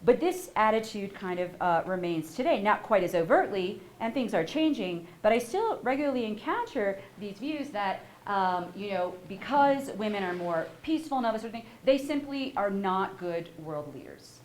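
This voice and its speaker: A woman giving a university lecture, animated and asking the audience questions as she goes. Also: mid-range voice, moderate pace, hardly any pauses, a little hiss or hum, poor audio. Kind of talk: public lecture. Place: lecture hall.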